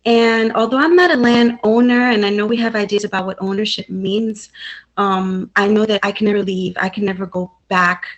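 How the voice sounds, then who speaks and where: A woman's voice: medium pitch, fairly even intonation, moderate pace, dull audio. A woman originally from Haiti, giving an earnest, reflective talk as a guest speaker at a community event.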